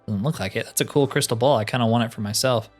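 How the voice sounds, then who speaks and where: A man's voice: medium pitch, quick pace. A man giving casual, wry video game commentary, thinking aloud as he plays. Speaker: gaming video host. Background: game music.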